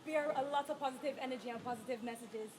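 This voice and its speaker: A woman with a Barbadian accent, a guest singer interviewed at a live outdoor event, bright and enthusiastic. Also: sits high, fast, noisy background. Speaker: guest artist, birthday ambassador. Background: crowd chatter.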